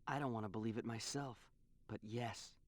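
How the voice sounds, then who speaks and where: An actor playing a sincere, troubled young hero in a video game, speaking earnestly and politely. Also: male voice, fairly low pitch, steady tone, normal speed, no echo, close to an everyday voice. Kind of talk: scripted video game voice acting.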